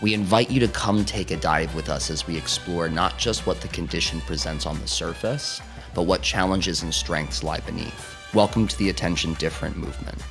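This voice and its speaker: A man in his thirties hosting a podcast, reading a welcoming intro and asking gentle questions. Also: low-pitched, normal speed, music in the background. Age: 30s. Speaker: podcast host. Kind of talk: scripted podcast intro and interviewing.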